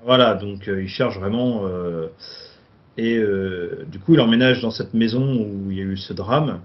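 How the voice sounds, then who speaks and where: A man's voice: lowish voice, normal speed. Hesitant man summarizing a film plot. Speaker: film podcast co-host. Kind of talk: casual film discussion. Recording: dull lo-fi sound.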